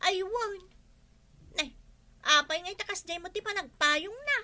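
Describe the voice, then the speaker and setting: An actress playing a nosy, chirpy village helper in an Ilocano radio comedy, chatty and questioning, with an Ilocano accent. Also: female voice, very high-pitched, lively intonation, measured pace, pushed and bright, exaggerated voice.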